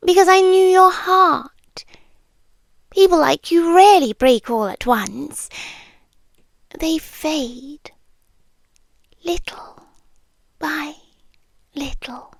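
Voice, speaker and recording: A British woman playing an ancient, possessive, obsessively devoted immortal lover in an intimate ASMR roleplay, speaking in a hushed, breathy, deliberate way that often slips into whispers. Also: sits high, no room sound, exaggerated voice. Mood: softly menacing devotion. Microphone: close microphone, dry.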